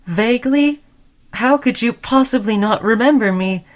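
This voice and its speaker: A woman playing an obsessed, caring yet menacing sentient robot in an intimate roleplay audio drama, speaking in an earnest, halting way. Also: a little high, natural voice. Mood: hurt.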